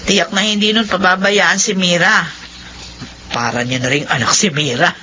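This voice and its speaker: An actress playing a caring, chatty Filipino neighbour in a radio drama. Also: female voice, on the low side, normal speed, pushed and bright. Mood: reassuring. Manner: breezy, reassuring. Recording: dull lo-fi radio drama, faint noise.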